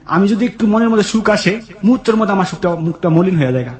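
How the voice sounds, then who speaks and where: Man, colloquial Bangladeshi accent, quick pace, mid pitch, plaintive personal storytelling, roomy echo. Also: expressive, darkish tone. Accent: Bangladeshi, colloquial regional. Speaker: guest, migrant worker telling his life story.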